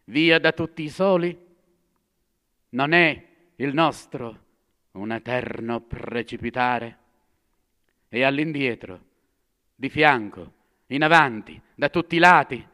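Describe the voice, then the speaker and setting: A man voicing a frantic, grieving madman who swings from shouting to hushed murmurs, in a dramatic reading. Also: medium pitch, heavily acted. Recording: long hall reverb.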